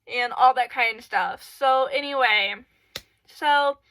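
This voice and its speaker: A woman's voice: high voice, slow, a bit bright, slight background noise, rough recording. A woman hosting her own channel, giving casual advice in a rambling way full of fillers, her voice stuffy and congested from a cold, recorded on a camera in her bedroom. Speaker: channel host. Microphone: camera in her room.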